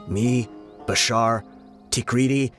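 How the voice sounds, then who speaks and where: An actor playing a weathered mercenary second-in-command in a video game, solemnly and deliberately telling of the past. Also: male voice, mid-range voice, fairly even intonation, a bit bright. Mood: grave, explaining. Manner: grave, measured, deliberate storytelling. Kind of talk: dramatic video game dialogue. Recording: game dialogue over background music.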